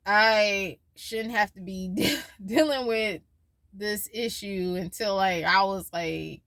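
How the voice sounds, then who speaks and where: A woman's voice: medium pitch, slow pace, fairly bright tone, dry recording, studio quality. A woman in her twenties talking casually in a personal vlog, rambling and losing her train of thought as she shares her worries and her faith. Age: 20s. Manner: worried, faith-filled musing. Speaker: vlogger.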